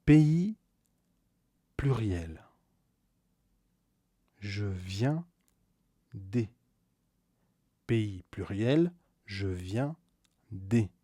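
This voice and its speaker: A man teaching a scripted beginner grammar lesson, slowly repeating each key word and stressing it with a rise. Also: lowish voice, animated, dark tone, no room sound, studio quality. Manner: slow, deliberate, repeats key words with stressed rises. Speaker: French teacher.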